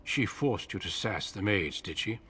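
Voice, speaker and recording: An actor playing a grave veteran warrior who commands recruits in a video game, measured, formal and authoritative. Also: male voice, lowish voice, expressive, over a noisy crowd, close to an everyday voice. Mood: dry reproof.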